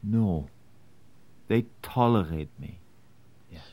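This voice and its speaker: A man acting as a weary, doubtful but loyal immigrant conspirator in an 1860s period drama, speaking earnestly and deliberately. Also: on the low side, animated, mellow. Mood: resigned, wary. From Prussia (character).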